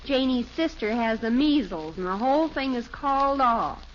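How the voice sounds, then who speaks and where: An actress playing a dramatic, sulky American teenage daughter in an old radio sitcom, plaintive and emphatic. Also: female voice, fairly high pitch, animated, moderate pace, natural voice. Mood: disappointed, sulky. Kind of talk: 1950s radio sitcom acting. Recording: dull, noisy old radio broadcast recording.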